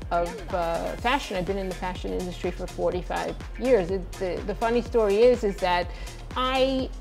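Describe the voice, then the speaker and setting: A woman's voice: medium pitch, a little mellow. A woman in her sixties, an author talking as a guest in an interview, earnest and emphatic. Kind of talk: conversational interview.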